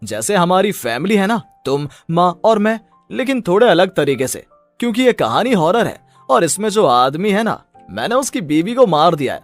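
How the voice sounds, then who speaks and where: A man's voice: high-pitched, very animated, normal speed, faint music behind. A man voicing an anxious young husband and son in melodramatic cartoon story dialogue. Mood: casual, reassuring.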